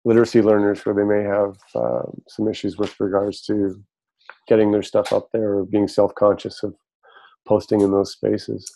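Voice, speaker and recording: A man on a video call, speaking in a thoughtful, measured way with some ums. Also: lowish voice, vocal fry, warm tone, low-quality recording. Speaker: participant. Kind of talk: casual group discussion.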